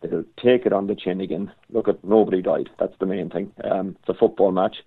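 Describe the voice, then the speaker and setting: A hoarse Irish man talking football on a call as a guest pundit, rambling with lots of restarts. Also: low voice, steady tone, fairly quick. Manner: rambling, stop-start, frequent restarts. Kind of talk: casual analysis over the phone. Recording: tinny phone line.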